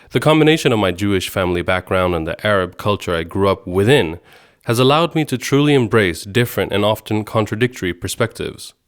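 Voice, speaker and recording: A man from Sweden who grew up in Egypt and Jordan, hosting a podcast with scripted, measured and articulate narration. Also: low-pitched, clear, resonant tone. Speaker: podcast host, radio journalist.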